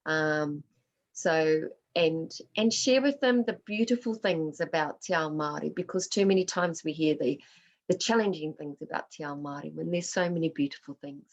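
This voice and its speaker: A woman's voice: low voice, moderate pace, highs cut off. A woman who grew up in Australia, leading a workshop and answering questions on a video call, speaking in a reflective, personal way with Māori words mixed into her English. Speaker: workshop facilitator.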